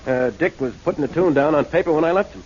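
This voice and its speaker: An actor playing a nervous, defensive songwriter in a 1940s radio drama. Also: male voice, fairly high pitch, fast, a little mellow, close to an everyday voice. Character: defensive, nervous songwriter husband under suspicion. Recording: muffled 1940s radio with music.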